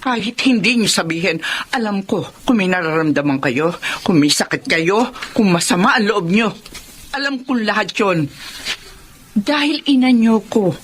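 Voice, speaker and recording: A woman's voice: medium pitch, animated, moderate pace, slight background noise, close to an everyday voice. A woman playing a self-sacrificing middle-aged career mother in a Filipino radio drama. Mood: earnest, pleading. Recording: music bed behind the voice.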